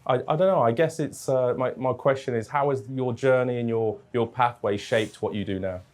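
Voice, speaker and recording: A man's voice: medium pitch, moderate pace, a little mellow. A man hosting a panel Q&A, asking questions with some hesitation and restarts. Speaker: Q&A host.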